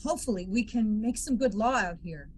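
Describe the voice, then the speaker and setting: A woman playing a brisk, teasing, combative military lawyer in an amateur sci-fi script reading. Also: medium pitch, expressive, a little mellow, a little hiss or hum, close to an everyday voice. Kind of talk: amateur table read of TV script.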